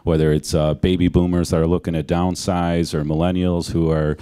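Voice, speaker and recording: Deep, dark-toned man urging on a panel. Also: flat delivery, fairly quick, smooth. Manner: urgent, pleading. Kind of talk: panel discussion answer. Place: auditorium stage panel.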